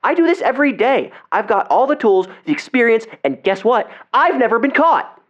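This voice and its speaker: A man's voice: extremely high pitch, very animated, normal speed. Cocky teenage boy, muffled sound. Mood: defiant, indignant. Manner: casual, slangy, bristles when challenged. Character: cocky, defensive seventeen-year-old male amateur explorer. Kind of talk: audio drama phone dialogue.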